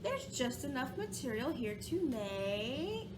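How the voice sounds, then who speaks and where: Lady reading as kindly grandpa tailor in a storybook, thoughtful, high voice, noisy audio. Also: very animated, takes it slowly, exaggerated voice. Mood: musing. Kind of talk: storybook read-aloud.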